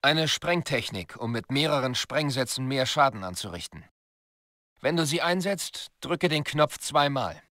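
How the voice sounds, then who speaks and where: A man's voice: mid-range voice, lively intonation, unhurried, bright, forceful tone, no echo, studio quality. A man voicing a stern military commander in a video game, giving curt, commanding instructions. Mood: brisk, instructing. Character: stern male military commander briefing a soldier.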